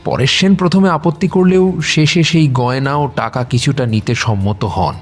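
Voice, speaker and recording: A man tells a scripted adventure story in a medium-pitched voice. Faint music plays behind his narration. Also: normal speed.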